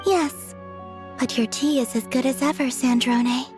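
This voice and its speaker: A woman's voice: sits high, fairly even intonation, a little slow, over music. A woman voicing a cheerful, eager young girl in a video game, sweet, friendly and enthusiastic. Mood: pleased. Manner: bubbly, enthusiastic. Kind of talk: English video game voice acting.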